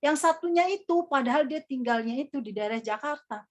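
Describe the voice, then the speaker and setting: Young woman, high-pitched, easygoing trainer with a rambling, filler-heavy delivery. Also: expressive, a little fast. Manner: chatty, calls herself Kakak, many fillers. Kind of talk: casual workshop teaching.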